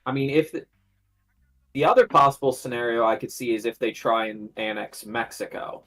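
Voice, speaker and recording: A man's voice: medium pitch, moderate pace, a bit bright. A man taking part in a casual panel discussion.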